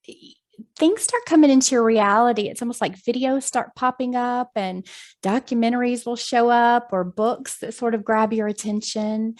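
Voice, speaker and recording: A woman's voice: a little high, a little mellow. A woman from the United States, a guest in a video call interview who talks in a friendly, chatty way with many ums. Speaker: guest, hypnosis practitioner.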